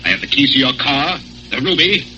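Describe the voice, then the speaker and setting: Suave, menacing gentleman crook. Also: mid-range voice, lively intonation, a little fast, a little hiss or hum. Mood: cool menace. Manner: suave, formal, mock-polite. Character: smooth, haughty male society pickpocket, menacing crook. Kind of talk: 1940s radio acting. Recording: muffled 1940s radio recording.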